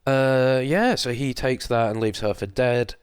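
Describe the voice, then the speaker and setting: A man's voice: medium pitch, unhurried, smooth, no echo, clean studio sound. A man co-hosting a film podcast, chatting casually with wry, sarcastic humour and some swearing. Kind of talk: casual chat, recapping a film plot.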